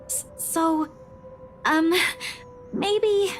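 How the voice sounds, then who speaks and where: A woman's voice: very high-pitched, extremely slow, exaggerated voice, rough recording. An actress in a visual novel playing an anxious, caring, chatty young woman who stammers and pleads. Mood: hesitant, coaxing. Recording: music behind the voice.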